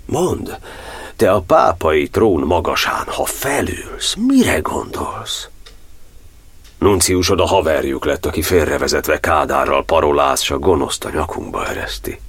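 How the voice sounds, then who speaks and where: Radio drama proud, reproachful old cardinal: husky, crackling voice, impassioned verse with wide swings. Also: male voice, rumbling low, animated, full character voice. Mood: anguished reproach. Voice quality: raspy, gravelly. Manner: declamatory, impassioned. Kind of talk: verse monodrama radio play acting.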